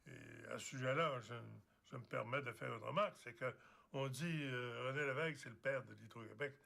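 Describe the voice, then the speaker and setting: Un homme interviewé qui corrige une idée reçue d'un ton insistant. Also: male voice, medium pitch, brisk, gravelly, sharp tone.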